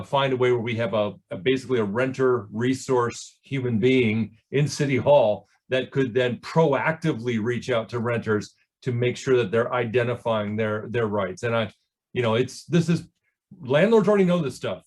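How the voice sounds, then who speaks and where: A man with a mid-pitched voice speaks as a candidate at a public forum. He talks off the cuff, stopping and starting as he looks for the right word. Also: a little mellow. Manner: halting, searching for words, stop-and-start. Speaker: political candidate.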